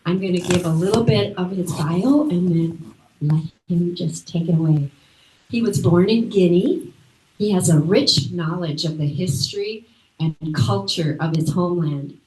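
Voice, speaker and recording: A woman's voice: lowish voice, a little slow, darkish tone, a little hiss or hum. A woman introducing a guest speaker at a library event, reading his bio with friendly, chatty asides to the audience. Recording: echoey room.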